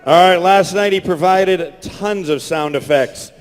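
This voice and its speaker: A man's voice: high voice, a little slow. A man hosting a live convention panel over a stage microphone, projecting to hype up the crowd. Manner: hyping the crowd, projecting loudly. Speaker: panel host and creator. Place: large convention hall stage. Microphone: stage microphone through PA. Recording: echoey convention hall PA, long reverb.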